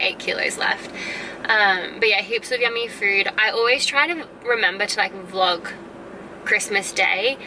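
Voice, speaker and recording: An Australian woman rambling casually to her camera, stuffy-nosed and coughing from a head cold, with plenty of "um" and "like". She sits back from the mic, and a clear layer of background noise runs under her voice. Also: mid-range voice, recorded from a distance. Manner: rambling and chatty, full of "um" and "like". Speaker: vlogger. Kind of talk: casual catch-up chat to camera.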